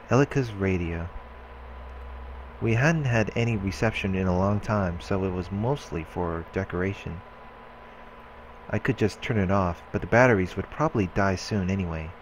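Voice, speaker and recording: Deep-voiced man reading game text. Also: unhurried, pauses often, slight background noise, poor audio. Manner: steady reading with casual asides. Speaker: let's play host.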